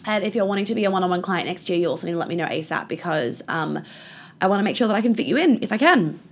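A woman podcast host whose voice is husky and hoarse from laryngitis, with a gravelly, rough edge. She chats casually and candidly, swearing freely, and sounds like she is on a video call. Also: lowish voice, lively intonation, racing. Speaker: podcast host and life coach. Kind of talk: casual chat.

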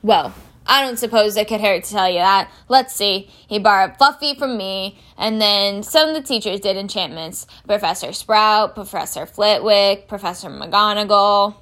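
A woman's voice: mid-range voice, normal speed, a bit bright, lightly acted. Storybook role: hearty, bearded big man, proud groundskeeper, blurts things out in colloquial speech female reader acting him. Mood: proud, chatty.

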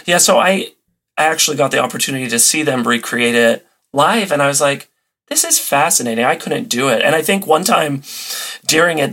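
A man chatting casually as a podcast guest, animated and given to acting out little quotes and sound effects. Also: on the high side, slightly rough. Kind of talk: casual chat.

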